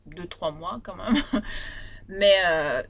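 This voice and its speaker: A woman's voice: on the low side, expressive, slow. Chatty woman with a Réunion Creole accent. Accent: Réunion Creole French. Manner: chatty, rambling, many fillers and restarts. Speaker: beauty vlogger. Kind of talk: casual product review. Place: her bedroom.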